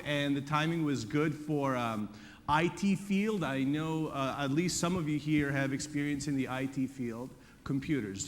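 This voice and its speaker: A man's voice: fairly high pitch, fairly bright tone, a little hiss or hum. A man from Bosnia speaking on a conference panel, casual and joking. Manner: joking, casual, laughs at own jokes. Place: conference stage. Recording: reverberant conference hall.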